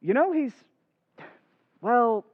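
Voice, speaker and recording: A man's voice: extremely high pitch, lively intonation, drawn-out words, narrow, tinny audio, full character voice. An actor playing an eager, slightly awkward young man, emphatic in an old-time radio drama. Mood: guarded.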